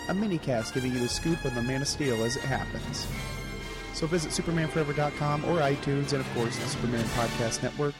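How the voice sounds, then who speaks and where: Fast-talking male promo announcer. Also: medium pitch. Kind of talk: scripted promo voice-over. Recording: loud music bed behind voice.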